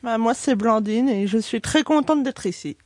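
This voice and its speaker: A woman's voice: a little high, normal speed, darkish tone, dry recording. A woman hosting an amateur radio show, announcing the topic and the guest. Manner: announcing, reading out the program.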